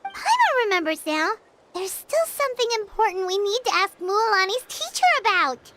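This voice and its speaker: A woman voicing a tiny, chirpy, childlike fairy companion in a video game, with a squeaky voice. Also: very animated, moderate pace, very noisy. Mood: eager, surprised. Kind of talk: scripted game dialogue. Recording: music behind the dialogue.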